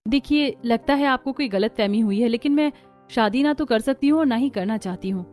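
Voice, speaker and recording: A woman's voice: on the high side, fairly quick, a little mellow, natural voice. A woman playing a poor, dutiful young woman in a moral story, speaking politely and earnestly. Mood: firm, surprised. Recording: music under the voice.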